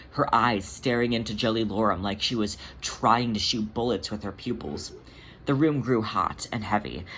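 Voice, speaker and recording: An amateur narrator reads fiction expressively in a medium-pitched voice that sits between typically male and female. The home recording has clear background noise. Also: a bit bright. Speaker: podfic narrator and channel host.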